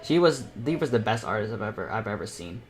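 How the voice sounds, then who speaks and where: A man's voice: on the low side, very animated, fast, faint music behind. An eighteen-year-old man hosts a painting panel, talking casually and informally, rambling and thinking aloud as he works. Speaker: self-taught artist hosting a painting panel. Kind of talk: casual live painting tutorial chat.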